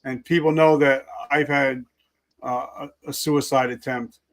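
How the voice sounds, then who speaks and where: A man's voice: mid-range voice, fairly even intonation, measured pace. A middle-aged man, a former army sergeant, hosting an interview in a direct, conversational way. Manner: direct, calls guest brother. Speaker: podcast host, retired army sergeant.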